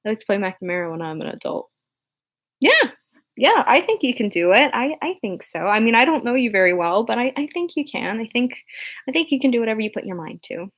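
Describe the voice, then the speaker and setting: A woman's voice: sing-song, a little fast. A young woman chatting casually on a livestream, rambling with many fillers and restarts, earnest and encouraging as she answers viewer questions. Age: young adult. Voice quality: low speaking voice. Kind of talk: casual unscripted chat on a livestream.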